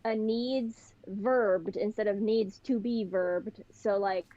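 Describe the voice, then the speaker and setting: A young woman with a Pittsburgh accent talks as a podcast guest, telling stories in an animated, chatty way. Her voice sits at a middling pitch. The recording is a little dull and lo-fi. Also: slow pace. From Pittsburgh, Western Pennsylvania. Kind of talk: casual chat. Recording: slightly dull, lo-fi sound.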